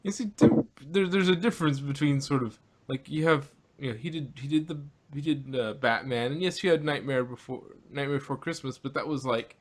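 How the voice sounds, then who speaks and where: A man co-hosting a podcast in casual group chat, with a halting, jokey way of talking. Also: mid-range voice. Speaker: podcast co-host.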